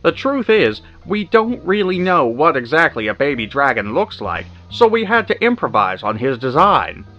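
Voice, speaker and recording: A man's voice: a little high, sing-song, normal speed, hardly any pauses, muffled recording, faint music behind. A man playing an earnest studio voice actor explaining his work in a scripted mock interview. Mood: earnest, explanatory.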